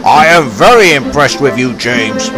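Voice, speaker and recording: A man voicing a stern but kind elderly railway boss, measured and pompous. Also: high voice, animated, poor audio. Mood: pleased. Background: loud background music.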